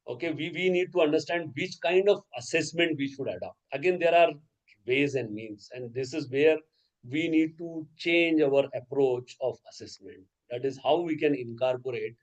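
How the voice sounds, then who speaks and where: A man's voice: fairly high pitch, highs cut off. Man lecturing over a video call. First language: Urdu. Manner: explanatory, frequent "okay" and "right?" check-ins. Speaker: workshop resource person. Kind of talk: online lecture to teachers.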